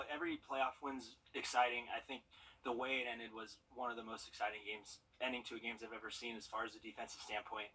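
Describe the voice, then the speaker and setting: A man giving a post-game interview as a ballplayer, talking quickly and hesitantly, with fillers. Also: mid-range voice, pushed and bright, husky, recorded from a distance, low-quality recording. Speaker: baseball player.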